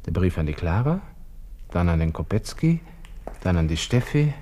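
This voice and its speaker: An actor playing a young, vain, agitated army lieutenant with a Viennese accent, muttering to himself in a radio drama monologue. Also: male voice, sits low, sing-song, normal speed, warm tone. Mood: wry brooding. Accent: Austrian, Viennese. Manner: muttering to himself, shifting abruptly from musing to exclaiming.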